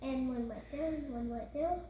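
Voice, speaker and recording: A high female voice chatting casually in simple, halting, repetitive phrases, pointing things out. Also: dark tone. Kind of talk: casual, unscripted pointing out of picture details. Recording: roomy echo with background noise.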